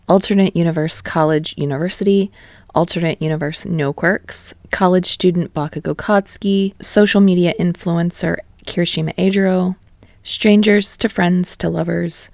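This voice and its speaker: A woman narrates her own fan fiction in a podfic, telling it in plain, even storytelling. Her voice sits low for a woman, and the recording is dry and close with no room sound. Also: vocal fry, no echo. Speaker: podfic reader and author. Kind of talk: reading fan fiction aloud.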